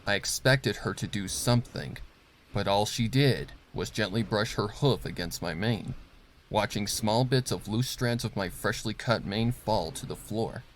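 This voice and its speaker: A man's voice: on the low side, unhurried, a little hiss or hum, low-quality recording. A man reading fan fiction as a scripted, dramatic narration with a grave, suspenseful delivery.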